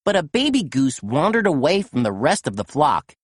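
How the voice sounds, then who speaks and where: A woman reading a children's picture book aloud in a gentle, expressive storytelling manner. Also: low-pitched, moderate pace, dry recording, clean studio sound. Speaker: storytime reader.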